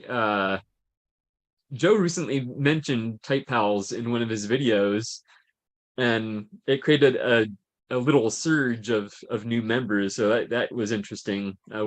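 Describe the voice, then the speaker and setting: A man hosting a casual live-stream chat, halting and repeating words as he talks. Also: medium pitch, dry recording. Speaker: host.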